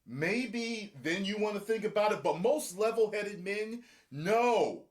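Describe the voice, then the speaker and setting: Animated man with lively pitch. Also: takes it slowly. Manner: animated, acts out quotes with shifting pitch. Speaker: show host. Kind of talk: casual reaction chat.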